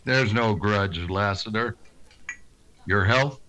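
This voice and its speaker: Western marshal for radio drama, male actor: lowish pitch, curt deadpan lines, steady weary authority, audible noise. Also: takes it slowly. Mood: calm, grave. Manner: terse, deadpan. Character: stoic, weary, plain-spoken western lawman. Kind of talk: old-time radio western acting.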